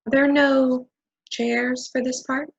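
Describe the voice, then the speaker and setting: A sneering, sarcastic woman, played by an actress in a stage play reading. She drawls slowly in a husky voice. Every phrase is laced with malicious contempt for the group. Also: high voice, level tone, lo-fi sound, heavily acted. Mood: contemptuous.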